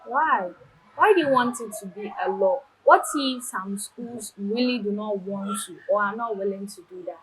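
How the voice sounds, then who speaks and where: A woman's voice: medium pitch, normal speed, background noise. A woman hosting a TV show, questioning students and pressing them with follow-up questions. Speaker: host.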